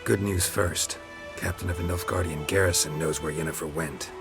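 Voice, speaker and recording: Stoic monster hunter, extremely low voice, clipped flat lines, game music behind. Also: male voice, moderate pace, vocal fry. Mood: terse. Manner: terse, clipped, flat delivery. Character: gruff male monster hunter, terse and unflappable. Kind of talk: video game voice acting.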